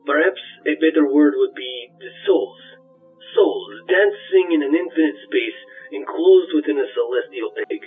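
A man's voice: on the high side, big pitch swings, a little slow. A man voicing an earnest, devout and self-important robotic ghost companion, speaking in a solemn, preachy way through a radio-like filter. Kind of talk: audio drama dialogue. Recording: muffled radio-like sound, distant, music.

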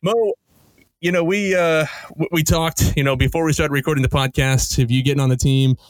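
A man's voice: fairly high pitch, steady tone, brisk, no echo. A man hosting a supporters' podcast from home, asking questions in a rambling way with many fillers and restarts. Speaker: podcast host. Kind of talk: casual podcast interview. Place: home during a storm. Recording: home mic, dry.

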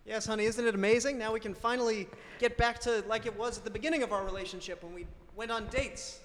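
Muddled, agreeable married man, woman performer: hesitant, earnest, formal, high voice, reverberant hall sound. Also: fairly even intonation, fast, lightly acted. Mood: cheerful. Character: confused, agreeable married man, earnest smart-home buyer. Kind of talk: improvised radio play parody.

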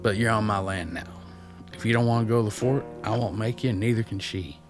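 Man playing a low-voiced folksy backwoods loner. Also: fast talker, over music, natural voice. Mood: firm, plain. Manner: folksy, plain-spoken, wry. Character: reclusive rough-mannered ex-addict loner living in backwoods. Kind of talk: radio drama acting.

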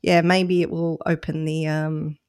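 Brief: woman, lower voice, relaxed podcast co-host, reflective and self-deprecating. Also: a little slow. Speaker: podcast co-host, run director. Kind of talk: casual podcast chat.

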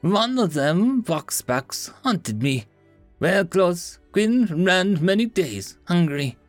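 A man's voice: high voice, very animated, slow pace, no echo, soft background music, pitched above the performer's own voice, heavily acted. A man voicing a regal, proud female house cat spirit with an Eastern European accent and broken speech.

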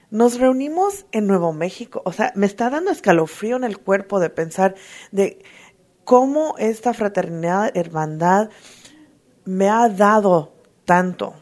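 A woman giving an emotional, earnest personal testimony at a recovery meeting, halting often to restart her sentences. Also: medium pitch, a little mellow. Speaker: twelve-step fellowship member sharing.

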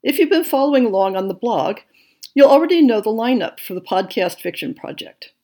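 A woman's voice: on the high side, animated, brisk, warm tone. Woman reading a podcast update. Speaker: podcast host. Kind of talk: scripted monthly update narration.

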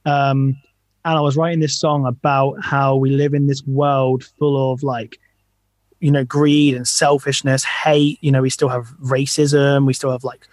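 A man's voice: mid-range voice, little pitch movement, unhurried, no room sound. A man in a pop punk band, answering interview questions in a loose, earnest way full of fillers.

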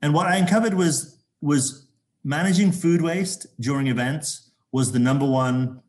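A man from Zambia, a corporate sustainability executive, talking as an interview guest with a halting delivery full of restarts. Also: on the high side. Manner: halting, many restarts and fillers.

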